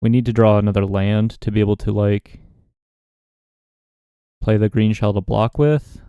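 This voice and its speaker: Low mellow guy thinking out loud over a card game, dry close mic, kinda dull sound. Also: normal speed, smooth, no room sound. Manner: relaxed, musing, talks himself through plays. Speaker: gaming content creator.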